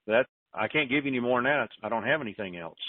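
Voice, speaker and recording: A man's voice: mid-range voice, lively intonation, quick pace, no room sound. A plain-spoken, folksy man hosting an informal conference call over the telephone. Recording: narrowband tinny phone line.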